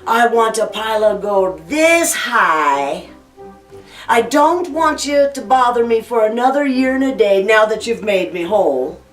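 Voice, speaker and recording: A woman's voice: fairly high pitch, lively intonation, a little slow, recorded from a distance, faint music behind. Crafty older Irish villager, performed by a woman, stubborn storybook haggler, heard with room echo. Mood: bargaining. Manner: stubborn, bargaining. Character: stingy, cunning older Irish man, stubborn and comfortable.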